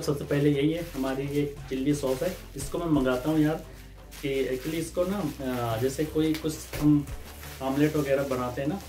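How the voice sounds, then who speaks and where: A man in his thirties from India, chatting casually and with animation as he unboxes groceries for his vlog, using his hands as he talks. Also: mid-range voice. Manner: chatty, animated, gesturing while talking. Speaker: vlogger. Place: indoor room, green screen and purple backdrop.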